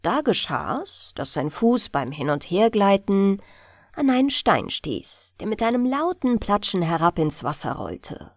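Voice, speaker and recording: A woman reads a fairy tale for bedtime. Her delivery is slow and gentle, with expressive, lively melody. The sound is dry and close, like a studio audiobook. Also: medium pitch, no echo. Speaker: audiobook narrator. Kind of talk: bedtime storytelling, scripted narration.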